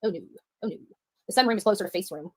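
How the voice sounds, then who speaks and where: A woman's voice: mid-range voice, rapid-fire, warm tone, no room sound, studio quality. A woman hosting a rambling livestream and chatting to viewers, emphatic and prone to repeating herself. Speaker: livestream host reading viewer chat. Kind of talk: rambling live-stream chat.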